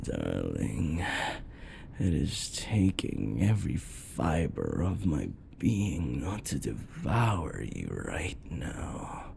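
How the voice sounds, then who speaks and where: A man playing an unhinged, possessive yandere predator lover in ASMR roleplay, often slipping into breathy whispers and a menacing croon. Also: deep voice, darkish tone, slight background noise, full character voice. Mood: hungry, possessive.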